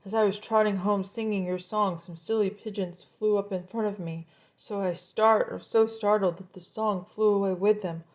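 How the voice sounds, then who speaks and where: Woman as storybook coyote, male, eager, easily annoyed, pleading, mid-pitched. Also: fairly even intonation, moderate pace, hardly any pauses, darkish tone, close to an everyday voice.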